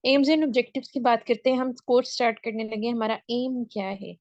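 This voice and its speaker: A woman's voice: fairly high pitch, a little fast, a little mellow, dry recording, dull audio. A woman teaching an English speaking class over a video call. She explains step by step and keeps checking that the students are following. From Pakistan. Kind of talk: online class lecture.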